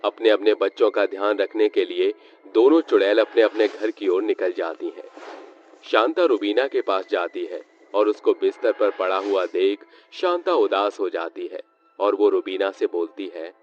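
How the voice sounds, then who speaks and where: A man's voice: on the low side, moderate pace, darkish tone. A man narrating a scripted story as a storyteller. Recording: muffled lo-fi sound with background music.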